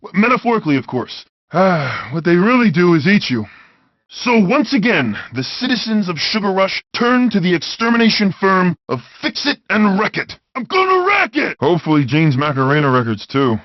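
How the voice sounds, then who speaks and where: A man's voice: sits high, very animated, normal speed, no room sound, muffled recording. A man voicing a big, brawny, good-hearted video game bad guy, wisecracking, boisterous and blunt, in compressed handheld game audio. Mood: fired up. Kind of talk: video game character voice clips.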